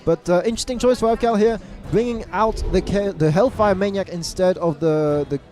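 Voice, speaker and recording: A man giving live play-by-play commentary on a card game match, stressing repeated words and correcting himself quickly. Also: high-pitched, darkish tone, faint music behind. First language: English. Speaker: esports caster. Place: event venue abroad.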